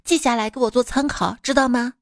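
A woman's voice: a little high, fairly even intonation, a little fast, dry recording, studio quality. A woman speaking as a mother and comic storyteller, in playful, coaxing scripted chat addressed to a child. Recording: background music under the voice.

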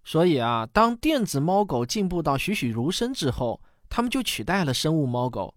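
A man's voice: fairly high pitch, big pitch swings, normal speed, dry recording, clean studio sound. A man playing a patient, kindly father who tells stories in a radio drama. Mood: explaining. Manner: warm storytelling, patient explaining. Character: patient, kindly father explaining family history to his daughter.

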